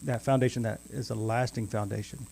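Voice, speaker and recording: Dry joking podcast cohost guy, dark gravelly voice. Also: mid-range voice, steady tone, fairly quick, a little hiss or hum. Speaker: podcast co-host. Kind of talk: casual chat.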